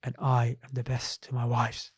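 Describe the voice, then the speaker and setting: A man's voice: mid-range voice, completely flat delivery, normal speed, husky, no echo. A man co-hosting a podcast, talking bluntly and emphatically in a casual religious chat, repeating his points. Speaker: podcast co-host.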